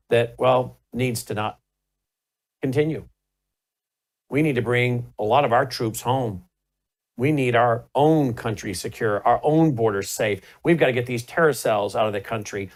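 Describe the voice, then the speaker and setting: Man, middle-aged, talk show host, emphatic, forceful questions. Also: medium pitch, a little slow. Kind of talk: political commentary and panel hosting.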